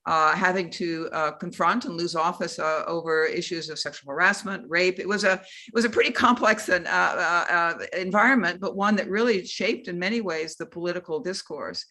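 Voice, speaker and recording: A woman's voice: lowish voice, normal speed, few pauses, pushed and bright. A woman moderating a panel discussion who talks in a discursive, editorializing way, with many restarts as she builds her questions.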